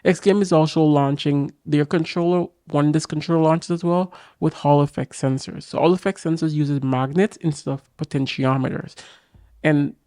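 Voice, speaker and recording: A young man with a raspy voice from a cold, casually and eagerly reviewing a game controller to camera in a small home studio. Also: a little high, fairly even intonation, normal speed, warm tone, no echo. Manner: enthusiastic, talks straight to camera, many fillers. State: getting over a cold. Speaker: tech reviewer. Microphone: boom mic on arm.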